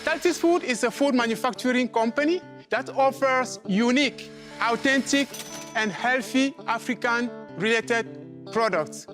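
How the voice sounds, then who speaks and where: Man pitching over music. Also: a little slow. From Cameroon. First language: other than English. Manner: showy, enthusiastic. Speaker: entrepreneur pitching. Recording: TV clip with music.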